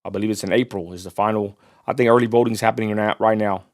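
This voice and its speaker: A man's voice: lowish voice, steady tone, fast. A man from Texas with a West Texas accent, hosting a livestream in a blunt, profane ranting style. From Texas, USA. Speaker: livestream host. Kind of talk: casual ranting livestream commentary.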